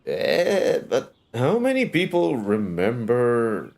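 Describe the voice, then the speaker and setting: A man's voice: mid-range voice, wildly swooping pitch, takes it slowly, creaky, a little mellow. A man commenting casually on a game livestream, with hesitant, muttered reactions. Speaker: game streamer. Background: game music.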